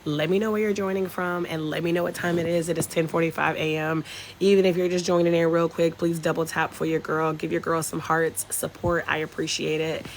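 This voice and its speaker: A woman's voice: deep voice, little pitch movement, a little fast, slight background noise. A young woman from North Carolina with a Southern accent, chatting casually with live-stream viewers from an outdoor hotel patio on her phone. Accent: Southern American. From North Carolina, USA. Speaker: travel vlogger live-streaming.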